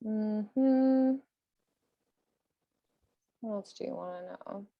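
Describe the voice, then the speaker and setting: A woman's voice: mid-range voice, drawn-out words, no echo, highs cut off. A woman hosting a podcast in casual conversation, sometimes reading aloud. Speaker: podcast host.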